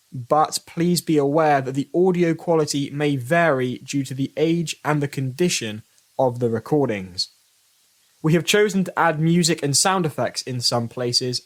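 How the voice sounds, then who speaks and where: A male host introduces an audio mystery in scripted narration. His voice sits a little high for a man, and his delivery is formal, measured and portentous. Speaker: host, presenter.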